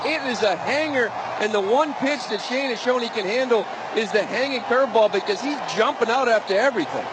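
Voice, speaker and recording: A man's voice: very high voice, fairly quick, crowd noise. An American man giving conversational, explanatory analysis as a colour commentator on a live baseball broadcast. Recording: live broadcast with loud background noise.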